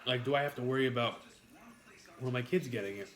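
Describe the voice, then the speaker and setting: A man's voice: mid-range voice, fast, a bit bright, slight background noise. A man with a New York accent eating on a live stream, rambling to his chat with frequent swearing and jokes. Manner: rambling, profane, jokey with chat.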